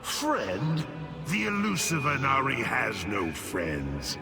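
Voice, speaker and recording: Casting: sceptical male keeper of knowledge, game cutscene, hugely varied intonation, unhurried, wary, loud score. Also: mid-range voice, very animated, creaky, exaggerated voice. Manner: dry, guarded, formal. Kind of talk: fantasy game cutscene acting. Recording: loud music behind voice. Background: game score music.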